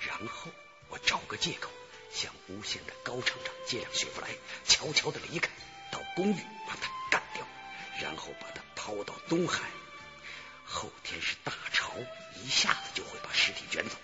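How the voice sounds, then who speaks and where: A man's voice: lowish voice, sing-song, normal speed. An actor playing a scheming, hot-headed henchman in a radio drama, coaxing and emphatic in a conspiratorial way. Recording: muffled broadcast with music behind.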